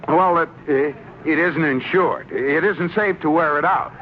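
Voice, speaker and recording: An actor playing an anxious, henpecked American husband in an old radio sitcom, stammering and exasperated. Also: male voice, a little high, a little slow, natural voice. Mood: flustered, pleading. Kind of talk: 1940s-50s radio comedy acting. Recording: dull, noisy old radio broadcast recording.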